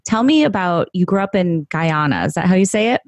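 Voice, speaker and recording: A woman with a low voice hosts a podcast, gushing with enthusiasm as she talks to her guest. The sound is dry and close, with no room around it. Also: animated, a little fast, dry recording. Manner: effusive, enthusiastic. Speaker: podcast host. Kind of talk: podcast interview.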